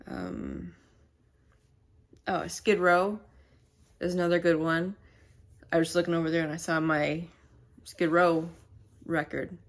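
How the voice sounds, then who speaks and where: A woman sits at home chatting straight into her camera mic, her voice low and a little stuffy and nasal. She rambles, pausing and hunting for words as she goes. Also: steady tone. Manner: rambling and halting, many fillers. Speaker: channel host. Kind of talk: casual to-camera vlog chat.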